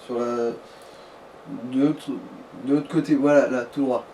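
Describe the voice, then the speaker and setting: A man's voice: medium pitch, steady tone, slow pace, warm tone. A man in an informal, explanatory gaming chat, advising the player as co-commentator. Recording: distant mic, faint noise.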